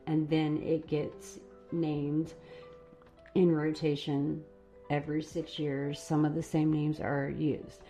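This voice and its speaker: A woman hosting a live stream, chatting casually and rambling a little while her voice is going hoarse. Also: deep voice, warm tone. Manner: casual, rambling, laughs at herself. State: losing her voice. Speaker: host.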